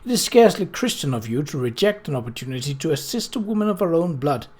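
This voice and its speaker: A man's voice: fairly high pitch, sing-song, fast, darkish tone, husky. A man voicing a composed upper-class lady in a storybook, mild but firm and lightly ironic. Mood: reproving.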